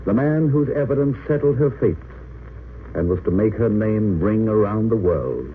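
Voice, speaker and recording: Guy doing old radio drama narrator, tinny noisy sound, grave and declamatory, big swings in pitch. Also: lively intonation, moderate pace, lightly acted. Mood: solemn, dramatic. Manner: solemn, declamatory. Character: grave dramatic narrator of a wartime true-crime tale.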